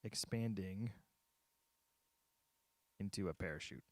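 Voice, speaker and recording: A man on a casual livestream, thinking aloud haltingly as he drafts a script, muttering and repeating his phrases. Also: sits low, no echo. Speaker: streamer writing a visual novel.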